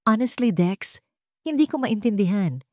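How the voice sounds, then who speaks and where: A woman's voice: medium pitch, expressive, normal speed, mellow, no echo, studio quality, pitched above the performer's own voice, close to an everyday voice. A woman voicing an elegant, scornful young rich rival in a romance story, with sugary condescension and a Filipino accent. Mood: irritated, scornful. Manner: sugary condescension, mixing English into Tagalog.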